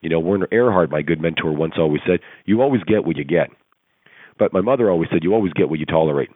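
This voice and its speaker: A hoarse man with a very deep voice gives an earnest, rousing coaching talk full of rhetorical questions. He is heard over a tinny conference phone line. The sound is dry and close. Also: lively intonation, fast talker, dry recording. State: hoarse, recovering from laryngitis. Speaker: coaching call presenter. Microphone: telephone conference call.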